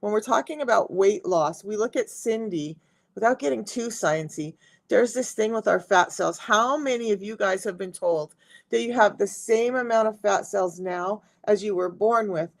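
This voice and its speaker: Woman, middle-aged, raspy and gravelly, mid-pitched; chatty live-stream health coach talking to viewers. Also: normal speed. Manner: chatty, talks straight to viewers. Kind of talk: casual live-stream talk.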